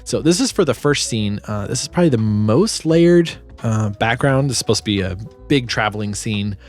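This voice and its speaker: A man's voice: medium pitch, lively intonation, normal speed, soft background music. A man giving a casual, explanatory walkthrough as a sound designer presenting his work.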